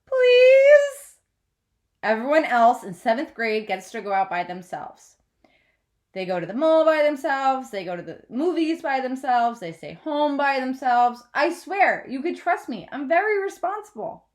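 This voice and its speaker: A whiny seventh-grade girl from a storybook, voiced by a woman reader. She pleads earnestly and emphatically, with exasperation, her pitch lifted and swinging widely. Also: sing-song, a little slow. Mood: whiny pleading.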